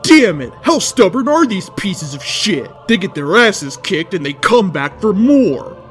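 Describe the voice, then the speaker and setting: A man's voice: sits high, big pitch swings, measured pace, a bit bright, soft background music, pitched above the performer's own voice, heavily acted. A man voicing a brash, cocky male fighter hero from fantasy fan fiction, boastful and defiant. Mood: exasperated.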